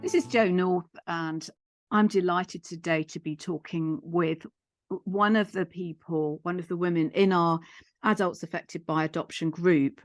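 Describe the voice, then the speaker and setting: Low-pitched middle-aged woman, measured interviewer. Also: steady tone, dry recording, clean studio sound, soft background music. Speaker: podcast host and psychotherapist. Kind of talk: gentle interview.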